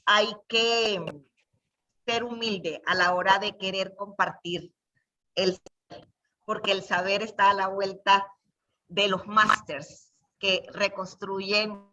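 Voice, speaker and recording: Mature woman from Venezuela, heartfelt and unhurried, forward bright tone, slightly muffled call audio. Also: medium pitch, fairly even intonation, recorded from a distance. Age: middle-aged or older. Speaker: participant giving thanks. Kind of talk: reflective, heartfelt talk. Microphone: video call. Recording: dull video-call audio.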